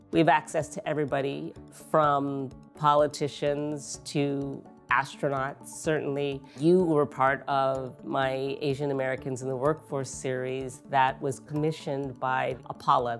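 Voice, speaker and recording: Middle-aged woman in an interview, super low gravelly voice, music behind her.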